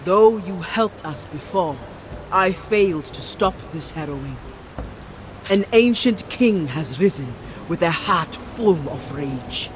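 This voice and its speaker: A woman's voice: lowish voice, lively intonation, slow pace, noisy background. A woman playing a resolute, devout priestess in a video game, speaking in solemn, emphatic declarations. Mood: earnest, grave. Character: resolute, devout priestess champion defying her elders. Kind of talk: dramatic video game acting. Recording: music behind the voice.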